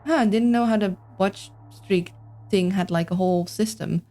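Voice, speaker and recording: A woman's voice: mid-range voice, normal speed, dark tone, faint music behind. A woman with a Dutch accent, streaming a game and chatting casually with her viewers, thinking aloud as she plays. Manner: chatty, reads and answers chat, thinks aloud. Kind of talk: casual livestream chat while playing.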